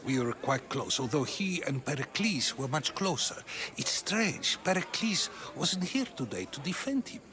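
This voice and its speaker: An actor playing a probing, articulate ancient Athenian philosopher in a video game, musing and questioning. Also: male voice, a little high, sing-song, moderate pace, a crowd in the background. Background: game music.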